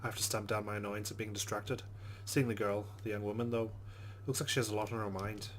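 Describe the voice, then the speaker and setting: A man's voice: on the low side, flat delivery, fast, fairly bright tone, slight background noise. A man hosting a let's play, giving casual game commentary and reading the game's text aloud.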